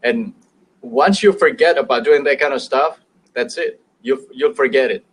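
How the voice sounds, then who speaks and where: Indonesian-accented man, halting and chuckling. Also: medium pitch, slightly rough, rough recording. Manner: hesitant, many fillers and restarts, chuckles. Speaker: business show guest expert. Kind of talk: casual business talk show chat.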